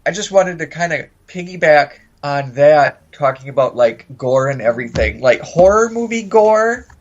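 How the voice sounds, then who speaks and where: A man's voice: on the high side, expressive, normal speed, a little hiss or hum. A man co-hosting a podcast in casual conversation, heard on a dull, lo-fi track. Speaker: podcast co-host.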